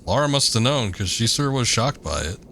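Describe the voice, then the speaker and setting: A man with a gravelly voice giving rambling, joking commentary while streaming a game. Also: medium pitch, sing-song, a little fast, bright, forceful tone, a little hiss or hum. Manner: rambling, laid-back, joking. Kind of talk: casual gameplay commentary.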